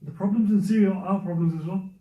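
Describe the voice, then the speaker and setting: A man's voice: high voice, fast, distant mic. A man giving a religious lesson to a youth and parents circle, talking earnestly and repeating his main points. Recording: muffled, echoey room, speaker away from mic.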